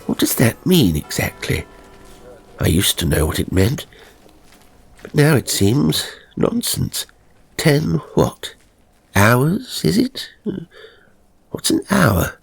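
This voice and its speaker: A man voicing a prim, newly dead gentleman ghost in a storybook reading, musing and chatting in a genteel way. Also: mid-range voice, big pitch swings, slow, gravelly, pauses often, breathy edge, faint music behind, pitched above the performer's own voice, full character voice. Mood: puzzled.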